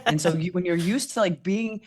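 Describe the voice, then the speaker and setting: A woman with a low voice, a podcast guest telling her story candidly in casual chat. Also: fairly quick. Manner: candid storytelling, animated quoting.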